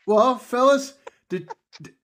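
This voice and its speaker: A man's voice: very high voice, slow, a bit bright, slight background noise. A man co-hosting a podcast in casual chat, joking and rambling, often laughing between lines. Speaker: podcast co-host.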